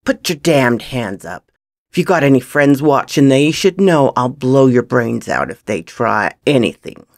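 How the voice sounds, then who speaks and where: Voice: medium pitch, a little slow, hardly any pauses, dark tone, no echo, studio quality, natural voice. A reader voicing a threatening, sardonic, hard-drinking male gunslinger in a western audiobook. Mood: angry threatening.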